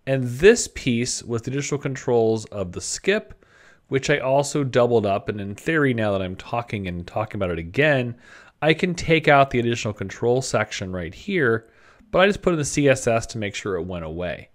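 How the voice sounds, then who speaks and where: A man's voice: mid-range voice, sing-song, normal speed. Conversational man narrating a screencast tutorial. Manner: conversational, informal, thinking aloud. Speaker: presenter of web design tutorials. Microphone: desktop microphone at a computer.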